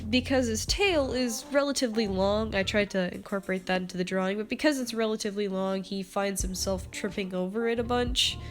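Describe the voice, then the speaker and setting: Casual woman vlogger, stuffed up with a cold, mid-pitched, talks in loose giggly tangents. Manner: rambling and chatty, laughing often. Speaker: artist presenting her character designs. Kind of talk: casual vlog narration over drawings. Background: background music.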